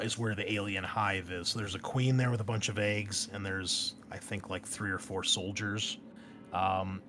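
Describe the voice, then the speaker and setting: A man with a fairly low voice talks as a game master and podcast host. His delivery is informal and halting, like casual explanation among players. There is faint noise in the recording. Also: a bit bright. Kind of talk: casual explanation and chat on an actual-play podcast.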